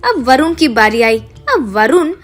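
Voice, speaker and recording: A woman's voice: sits high, big pitch swings, takes it slowly, soft background music. A woman narrator reading a scripted moral tale. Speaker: story narrator.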